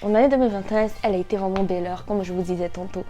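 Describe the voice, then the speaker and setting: A young woman of about nineteen talks to her viewers in a casual vlog style. Her voice sits at a middle pitch, and her delivery is animated and slangy, sprinkled with English words. Faint music plays behind her. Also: rapid-fire. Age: 19, nearly twenty. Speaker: vlogger. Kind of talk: casual chat to camera.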